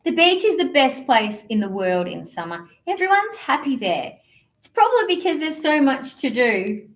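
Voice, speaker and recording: A woman's voice: high voice, sing-song, normal speed. A woman presenting a children's storytime, reading a picture book in a playful, theatrical, sing-song way. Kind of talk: animated picture-book reading and action songs for young children.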